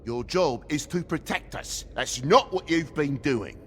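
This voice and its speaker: A man's voice: on the high side, lively intonation, a little slow, fairly bright tone, a little hiss or hum. A man with a British accent voicing a blunt, protective, anxious toad father in a video game. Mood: indignant. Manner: blunt, scolding, emotional.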